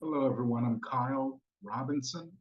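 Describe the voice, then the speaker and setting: A man's voice: mid-range voice, normal speed, slight background noise. A man, a committee member, politely introduces himself over dull, lo-fi video call audio. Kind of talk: self-introduction at a meeting.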